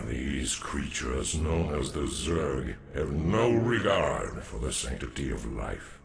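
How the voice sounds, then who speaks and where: An actor voicing a solemn, wise ancient alien warrior mystic in a video game, speaking formally with a reverberant effect. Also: male voice, rumbling low, vocal fry, exaggerated voice. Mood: grave. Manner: formal, grave, measured. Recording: echoing voice over game music.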